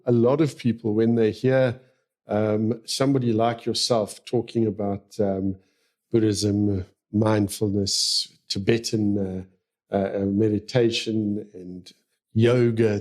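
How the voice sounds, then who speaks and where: A man's voice: on the low side, darkish tone. A man hosting an interview podcast, hesitant, with many fillers between phrases. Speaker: podcast host.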